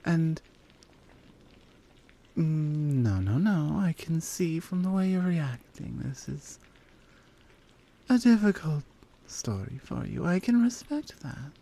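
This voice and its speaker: Woman playing a slow, mellow flirty lioness. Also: sits low, big pitch swings, creaky, full character voice. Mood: considerate. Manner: slow, coaxing, gently teasing. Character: flirty strong lioness woman blacksmith, teasing yet considerate. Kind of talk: roleplay ASMR audio drama.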